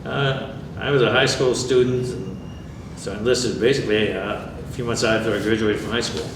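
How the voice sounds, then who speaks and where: Older guy from new york, lowish voice, plain dry answers, echoey room, mic far off, noisy. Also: fairly even intonation. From New York State. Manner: plainspoken, dry humor, measured. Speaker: veteran interviewee. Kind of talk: oral history interview.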